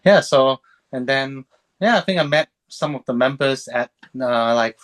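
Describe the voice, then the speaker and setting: Man in his thirties, film critic guest, mid-pitched voice, easygoing and rambling, full of fillers. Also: measured pace. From Hong Kong, raised in Sydney. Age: 30s. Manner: relaxed, rambling, many fillers and restarts. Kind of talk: casual interview chat.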